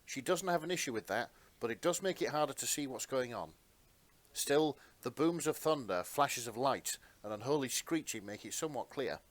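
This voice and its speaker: Man narrating a dramatic story, mid-pitched. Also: animated, normal speed.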